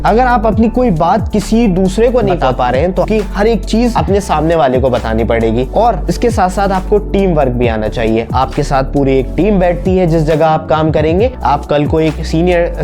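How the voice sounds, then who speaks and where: A man with an Indian accent giving an informal explainer as a career guidance presenter, posing questions and answering them himself. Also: a little high. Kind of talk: informal explainer to camera, Hindi mixed with English. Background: background music.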